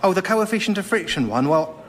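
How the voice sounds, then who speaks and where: A fussy, earnest, high-pitched fellow. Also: male voice, expressive, fairly quick, fairly bright tone. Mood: anxious, puzzled. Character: fussy, earnest storyteller and anxious exam-taker. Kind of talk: radio sketch comedy acting.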